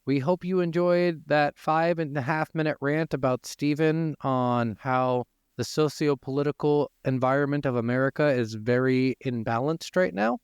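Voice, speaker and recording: A man's voice: medium pitch, moderate pace, few pauses, clear, resonant tone, no echo. Sweary, joking man, clean close sound. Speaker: podcast co-host. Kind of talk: casual banter between co-hosts.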